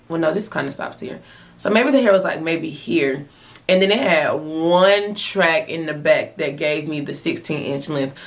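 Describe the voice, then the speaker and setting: A young woman with a hoarse, raspy voice from a night out, chatting through a hair review. She pitches a little low for a woman and keeps it loose, slangy and animated, talking straight to her viewers. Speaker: beauty vlogger. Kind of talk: casual hair review vlog.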